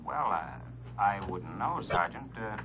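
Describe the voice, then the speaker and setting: An actor playing a mild, hesitant office clerk answering the telephone in a 1940s radio drama. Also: male voice, lowish voice, lively intonation. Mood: mild, flustered. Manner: hesitant, unhurried. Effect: telephone filter. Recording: tinny noisy phone line, old radio.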